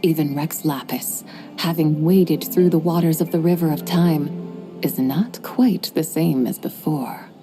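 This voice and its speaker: A woman's voice: deep voice, measured pace, music in the background. A woman voicing an ancient, playful female horse spirit who likes to show off, speaking in archaic, poetic phrasing with a wry, amused tone.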